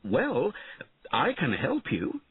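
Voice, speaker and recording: A man's voice: a little high, huge pitch swings, takes it slowly, slightly rough, dry recording, narrow, tinny audio, voice pushed up, exaggerated voice. A man voicing a proud, money-minded knight in a storybook, bold and emphatic. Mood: sly, offering. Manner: emphatic, declaiming. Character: proud, mercenary male knight, bold and disillusioned.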